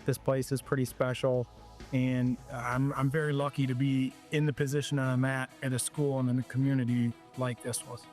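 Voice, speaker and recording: Halting Ohio-born coach answering questions. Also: male voice, mid-range voice, level tone, a little mellow, soft background music. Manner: halting, repeats words while thinking. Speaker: high school football coach. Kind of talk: interview answers.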